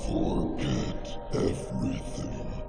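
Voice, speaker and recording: Very gravelly monstrous hive mind. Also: male voice, fairly even intonation, full character voice. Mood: cold menace. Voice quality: deep gravelly growl. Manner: slow, menacing, commanding. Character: monstrous parasitic hive mind, cold and domineering. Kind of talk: dramatic game cutscene acting. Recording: music under the voice. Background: loud music.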